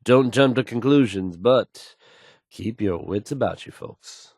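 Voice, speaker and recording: A man's voice: mid-range voice, slow, darkish tone, breathy edge, no echo, studio quality. An actor playing a confident, folksy male radio DJ in a video game, delivering news in suave showman's patter. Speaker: radio DJ character.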